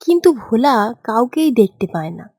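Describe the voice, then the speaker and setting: A woman's voice: a little high, very animated, brisk, darkish tone, no room sound, studio quality. A woman giving scripted narration of a fairy tale as storyteller. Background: background music.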